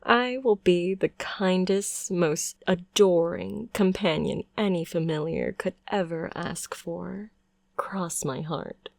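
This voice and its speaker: A doting yandere witch, acted by a woman in an intimate ASMR roleplay. She talks slowly in a dry, close sound, cooing and coaxing. Her sweetness is condescending and possessive, with a sinister edge. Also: fairly low pitch, creaky, no room sound. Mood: soothing, coaxing. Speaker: ASMR voice performer.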